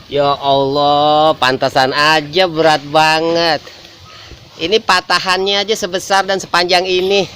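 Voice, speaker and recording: A man hosting a casual vlog, his voice hoarse and nasal from the flu as he talks to his viewers. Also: fairly high pitch, flat delivery, a little slow, pushed and bright, slight background noise. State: congested with flu. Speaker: vlog host.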